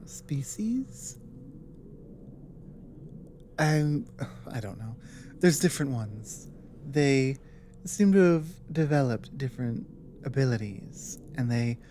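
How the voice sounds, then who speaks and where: A woman plays a grave female dragon who has survived a long war. She speaks slowly in a mellow tone, earnest and halting, leaving dramatic pauses. It is an ASMR audio drama with clear background noise. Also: very deep voice, exaggerated voice. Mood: troubled, weary.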